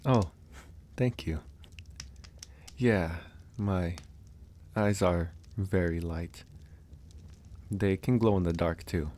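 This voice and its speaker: Man playing a deep, gentle young werewolf. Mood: relaxed, friendly. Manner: soft-spoken, reassuring, unhurried. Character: gentle young male werewolf living alone on a mountain. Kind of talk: ASMR roleplay.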